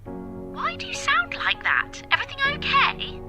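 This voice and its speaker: Woman putting on a shrill, prying middle-aged mum. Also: wildly swooping pitch, measured pace, music in the background, exaggerated voice. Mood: prying, affectionate. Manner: prying, affectionate. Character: shrill, fussy middle-aged mother on phone.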